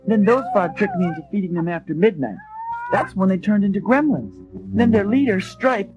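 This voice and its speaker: Earnest young small-town hero: high, animated, eager delivery for an audio storybook, music behind. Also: male voice, moderate pace, highs cut off. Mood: excited explaining. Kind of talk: read-along storybook drama acting.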